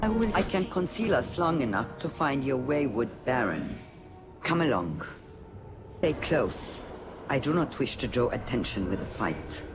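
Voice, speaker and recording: An actor voicing a sly, haughty otherworldly broker in a video game, formal and coolly condescending. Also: male voice, mid-range voice, big pitch swings, unhurried. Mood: guarded, condescending. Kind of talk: video game character dialogue. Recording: game audio with background ambience noise.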